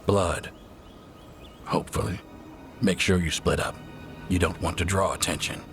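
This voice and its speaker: Grave warrior scout in a video game, low voice, dry, music behind. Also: male voice, steady tone, unhurried, fairly bright tone. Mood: grave, steady.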